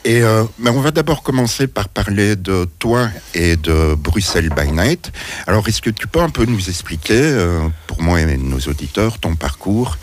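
A man hosting a radio interview, conversational and quick to correct himself. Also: sits low, expressive, a little hiss or hum. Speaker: radio host.

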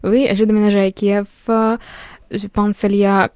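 A young woman from Ukraine, a student, answering an interviewer in halting phrases. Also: medium pitch, level tone, a little mellow, smooth, dry recording, clean studio sound. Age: young adult. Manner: halting, laughs between phrases.

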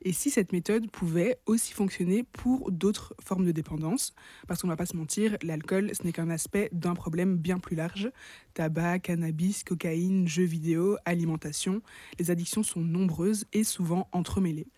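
A woman presents as a podcast co-host in a soft, mellow tone. Her delivery is scripted and articulate, leaning on rhetorical questions. The sound is dry, with no room around the voice. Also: lowish voice, moderate pace, breathy edge, no room sound.

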